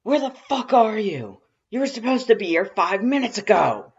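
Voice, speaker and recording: Loud, gravelly, furious skeleton voice, pitched high. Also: very animated, moderate pace, highs cut off, heavily acted. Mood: irritated yelling. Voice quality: rough, gravelly. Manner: shouting, crude, aggressive, swearing. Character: loud, irritated, crude skeleton brother yelling into phone.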